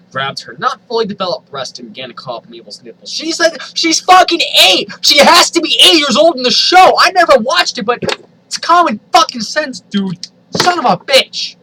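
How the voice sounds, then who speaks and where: A man's voice: very high voice, very animated, normal speed, pushed and bright, a little hiss or hum, poor audio. A man reading a story aloud in a theatrical, comedic way, with incredulous asides. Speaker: host reading fan fiction aloud.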